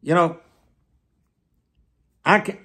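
An elderly man preaching to the camera in an emphatic, deliberate manner, often repeating phrases for weight. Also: pushed and bright. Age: 70s. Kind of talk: sermon to camera. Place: small plain room indoors.

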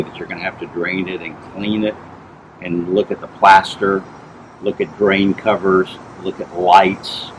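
Deep-voiced middle-aged man explaining a pool. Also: noisy background. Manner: plain, measured, explanatory, with frequent "uh" and "um". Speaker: pool inspector. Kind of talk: on-site explanation to camera. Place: outdoors in a backyard by a swimming pool.

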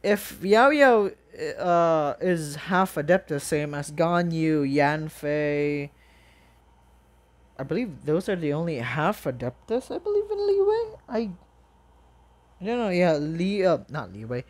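A reaction video host chatting casually and excitedly in a rambling, exclaiming, informal way. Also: mid-range voice, takes it slowly.

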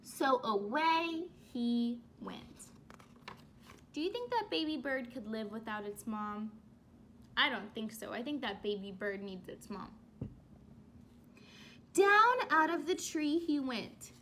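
A young woman teacher reading a children's book aloud and asking questions in an expressive, instructive way. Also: on the high side, slow, pauses often, slight background noise. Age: young adult. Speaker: elementary school teacher. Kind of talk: children's read-aloud lesson. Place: small room at home.

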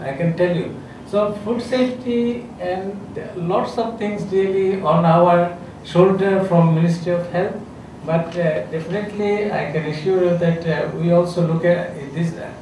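A middle-aged man with a Bangladeshi English accent, a health official giving unscripted panel remarks at a podium, hesitant, with many fillers and restarts. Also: a little high. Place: conference room with a seated audience. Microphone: podium microphone. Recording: echoey room, voice from a distance, clear background noise.

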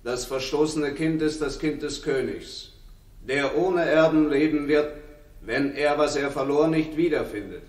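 A man's voice: on the high side, a little slow, darkish tone, natural voice. Guy playing solemn herald proclaiming an oracle, bit far off, echoey old radio play. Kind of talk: 1960s German radio drama acting. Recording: echoey 1960s radio recording, distant from mic.

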